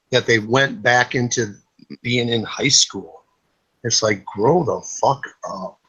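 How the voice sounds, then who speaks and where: A man's voice: mid-range voice, pushed and bright, low-quality recording. A man in his fifties chatting casually on a group call, blunt, swearing freely and telling stories. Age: 50s. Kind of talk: casual late-night group chat. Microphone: online group video call.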